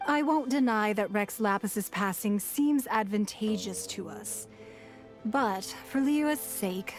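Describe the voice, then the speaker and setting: A woman voicing a poised, shrewd and authoritative female ruler in a video game, speaking in a measured, formal manner. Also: mid-range voice, close to an everyday voice. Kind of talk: video game character acting.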